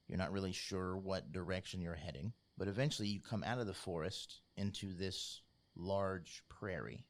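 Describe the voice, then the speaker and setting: A man narrating a tabletop role-playing game as game master, in a measured, informal, descriptive storytelling style. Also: low-pitched. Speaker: game master, podcast host.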